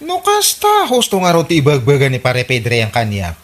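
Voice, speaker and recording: Suspicious ilocano husband, shocked and exclaiming, radio comedy. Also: male voice, a little high, huge pitch swings, a little fast. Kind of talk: radio comedy drama acting.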